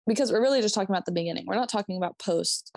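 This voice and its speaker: A woman with a light Minnesotan accent chats loosely on her podcast, circling her point with lots of "like" and quick laughs. Her voice sits at a middle pitch and is recorded dry and close, with no room sound. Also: vocal fry, a little mellow, no echo. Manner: rambling, self-interrupting, laughing, swearing. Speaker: podcast co-host. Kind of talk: casual chat.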